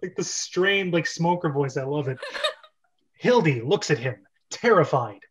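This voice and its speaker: A man's voice: on the high side, animated, a little slow, a little hiss or hum. A man narrating stage directions in a casual amateur script reading, breaking off into chatty asides. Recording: dull, lo-fi voice recording.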